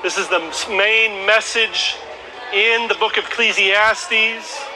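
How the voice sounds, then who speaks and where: A man's voice: high-pitched, steady tone, takes it slowly, a crowd in the background. A man in his thirties giving an open-air Bible talk into a handheld microphone in a teacherly, explaining way. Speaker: street preacher. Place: outdoor plaza by historic buildings. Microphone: handheld microphone through a speaker. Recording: outdoor street noise.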